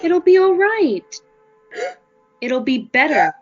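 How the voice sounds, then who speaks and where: A woman's voice: sits high, big pitch swings, takes it slowly, exaggerated voice. A woman playing a sweetly menacing schoolteacher in a horror audio drama, cooing patronizing endearments. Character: sweetly menacing female schoolteacher, cloying and controlling. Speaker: actor. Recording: dull, echoey hall reverb over music.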